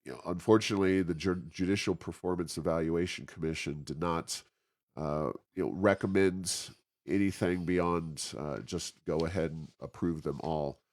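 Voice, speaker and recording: An American man hosting a conversational interview, speaking in a halting, roundabout way with many hesitations. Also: sits low, steady tone, darkish tone. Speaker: interview host.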